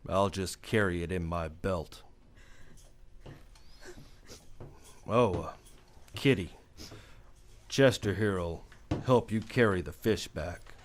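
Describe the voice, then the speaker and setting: A man's voice: sits low, measured pace, long pauses, a little hiss or hum. A man with an American accent playing an easygoing, weary Old West ex-lawman in a radio play, plainspoken and laconic. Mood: resolved. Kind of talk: old-time radio western acting.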